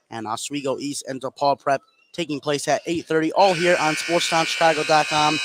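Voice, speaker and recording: A man doing live play-by-play basketball commentary, his delivery rising with excitement on big plays. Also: a little high. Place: indoor basketball gym at a tournament. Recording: noisy gym behind live broadcast.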